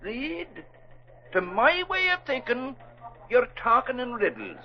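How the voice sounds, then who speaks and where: An excitable, simple-minded Irish newshound in a 1940s radio drama. He talks folksy slang in a high, bouncing brogue on a muffled old recording. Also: slow pace, full character voice. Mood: stubborn, puzzled.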